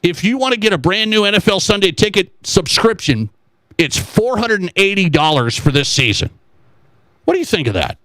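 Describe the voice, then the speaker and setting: A man with a high, bright voice talks as a sports radio host, recorded close and dry. He is conversational and animated, often posing rhetorical questions to his audience. He repeats key phrases to drive a point home. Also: moderate pace, no room sound, poor audio.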